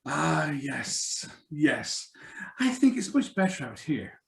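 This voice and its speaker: In an echoey room, a man acts out a suave blackmailer from a radio play. He swoops up and down in pitch, drawing out his courtesies with a sneer. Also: very animated, slow, gravelly, fairly bright tone, heavily acted. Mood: smug, menacing. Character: suave, sneering male blackmailer, mockingly polite. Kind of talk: live radio drama reading.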